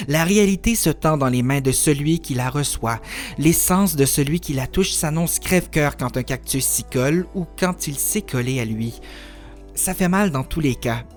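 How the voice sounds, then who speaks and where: A man's voice: mid-range voice, a little mellow. Man reciting spoken-word poetry over music. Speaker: poet reciting own work.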